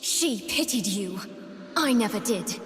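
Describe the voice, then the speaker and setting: A woman playing a young doll-like champion in a video game, gentle but resolute, speaking with earnest precision. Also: mid-range voice, expressive, unhurried, close to an everyday voice. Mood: firm, challenging. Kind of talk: video game character voice lines. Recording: long reverb, music underneath.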